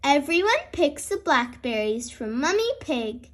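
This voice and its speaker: High-voiced woman reading to children, slow and animated. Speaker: host and read-aloud storyteller. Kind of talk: children's picture book read-aloud.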